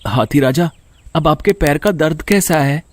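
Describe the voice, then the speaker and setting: A man voicing a gentle, lonely young orphan boy in an animated story, with an earnest, polite, childlike manner. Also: a little high, measured pace, no echo. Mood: friendly. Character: lonely, gentle seven-year-old orphan village boy. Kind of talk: Hindi animated story voice acting.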